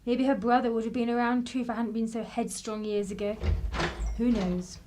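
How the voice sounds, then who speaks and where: A woman's voice: fairly high pitch, flat delivery, fairly quick, noisy background, natural voice. A woman playing a polite, composed lady with a secretive past in a radio drama, genteel and wry. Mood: polite, reflective.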